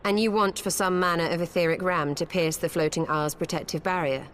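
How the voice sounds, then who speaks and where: Actress as low-voiced, composed scholarly sorceress. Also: female voice, steady tone, slight background noise, lightly acted. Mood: composed, thoughtful. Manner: formal, archaic, measured speech. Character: composed, scholarly adult female mage, gracious and formal. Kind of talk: fantasy video game voice acting.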